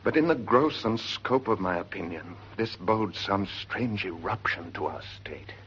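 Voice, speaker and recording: Muffled, noisy 1940s radio drama. a grave British scholar with a low voice, declaiming verse in a formal, measured manner. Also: male voice, animated, a bit bright. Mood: grave, expository. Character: grave scholarly gentleman, sceptical but shaken by dread.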